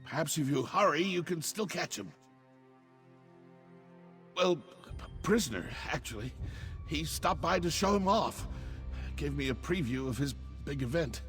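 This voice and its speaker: An actor playing a cowardly, frightened cultist nobleman in a video game, stammering and pleading in a husky voice. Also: fairly high pitch, expressive, a little slow, pauses often, fairly bright tone, faint music behind. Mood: nervous, resigned.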